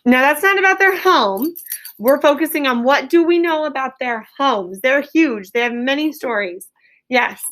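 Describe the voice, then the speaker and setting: A woman's voice: sits high, animated, normal speed, fairly bright tone. A woman teaching an elementary class in a question-and-answer style, directing pupils and repeating their answers back to confirm them.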